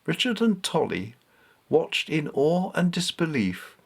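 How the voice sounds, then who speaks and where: Expressive male storyteller, animated intonation. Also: a little high, unhurried, vocal fry, husky. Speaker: author reading his own novel. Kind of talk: scripted storybook narration.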